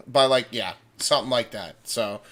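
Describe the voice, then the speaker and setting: A man's voice: medium pitch, measured pace, a bit bright. A man co-hosting a livestream over a voice call, chatting informally and reading out comments with some swearing. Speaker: livestream co-host. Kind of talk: casual chat, reading out viewer comments.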